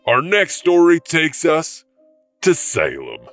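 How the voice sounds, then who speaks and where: A man narrating scripted spooky tales as host, deliberate and dramatic in delivery. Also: a little high, huge pitch swings, fairly bright tone, distant mic, faint music behind.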